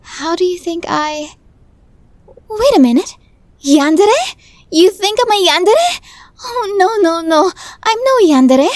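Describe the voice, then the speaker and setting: A woman's voice: extremely high pitch, expressive, slow, pauses often, no echo, exaggerated voice. A woman playing a tiny, nervous, lovestruck young woman in an ASMR roleplay, stammering and flustered. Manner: stammering, flustered, breathy asides.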